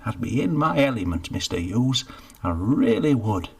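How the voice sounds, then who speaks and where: A man's voice: low-pitched, expressive, a little slow, creaky, slightly rough, heavily acted. A man voicing a scruffy, sheepish jobless man of about fifty, who mumbles and stammers. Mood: eager. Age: 50s.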